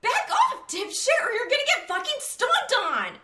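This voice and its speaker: A woman voicing a brash, excitable young female gamer and cosplayer with a cheeky, bubbly manner, in an audiobook style. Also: moderate pace, full character voice. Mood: furious screeching. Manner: screeching and squeaking outbursts, bubbly.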